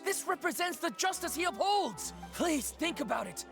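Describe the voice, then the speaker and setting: Earnest male courtroom advocate, very high, animated pleading, game drama over music. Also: normal speed, creaky, exaggerated voice.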